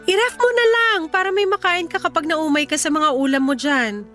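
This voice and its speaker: High-voiced, clingy young mother. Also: normal speed, sharp tone, soft background music. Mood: tentative, coaxing. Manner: insistent, pleading. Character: clingy, pleading young mother longing for her ex. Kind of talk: radio drama acting.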